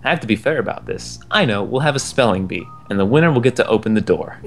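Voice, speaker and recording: A man's voice: lowish voice, animated, fast. A man putting on a fussy schoolteacher lady. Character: grown woman teacher, fussy and fair-minded.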